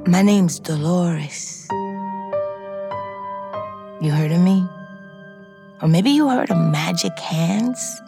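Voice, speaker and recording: A woman's voice: fairly low pitch, slow, vocal fry, long pauses, over music. An actress playing a streetwise middle-aged female ex-convict, telling her story in a profane, intense, conversational audio drama monologue. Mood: menacing, confiding. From New York City, United States.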